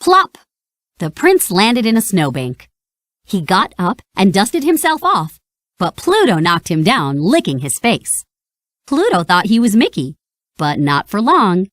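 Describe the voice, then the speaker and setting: Casting: female storybook narrator, expressive and dramatic, very lively pitch, dry close sound. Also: measured pace, no room sound. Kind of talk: scripted children's read-along narration.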